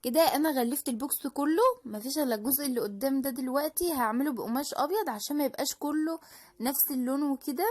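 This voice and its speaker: A woman with an Egyptian Arabic accent hosts a do-it-yourself crafts video, explaining each step in a chatty, informal way. Her voice sits high, and she talks quickly, often breaking off and starting a phrase again. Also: a little mellow. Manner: chatty and explanatory, with frequent restarts and fillers. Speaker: DIY crafts channel host. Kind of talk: casual voice-over crafting tutorial.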